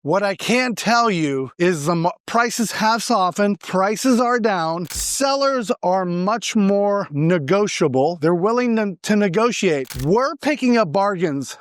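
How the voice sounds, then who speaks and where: High-pitched man giving market advice. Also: lively intonation, slow, hardly any pauses, clear, resonant tone, dry recording, studio quality. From Argentina. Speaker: real estate agent giving market advice. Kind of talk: informal explanatory monologue to camera.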